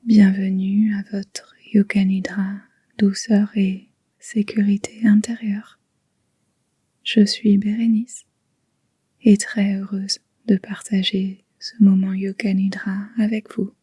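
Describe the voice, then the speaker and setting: A woman leads a guided relaxation in a very breathy, whispery voice. Her delivery is slow and gentle, with long pauses between phrases, and stays on an even, level tone. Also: medium pitch, no echo. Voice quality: soft, breathy, near-whispered. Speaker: yoga nidra guide.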